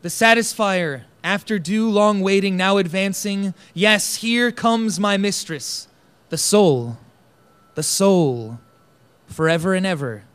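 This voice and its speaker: A man giving a slow, declamatory recitation of a poem. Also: sits high, fairly bright tone, clean tone. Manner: measured, declamatory phrasing. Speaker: poetry reader. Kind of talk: slow dramatic poetry recitation.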